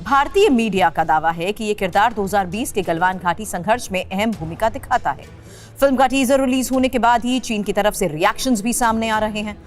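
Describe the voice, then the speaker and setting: A woman's voice: mid-range voice, a little fast, faint music behind. A woman presenting a scripted news report.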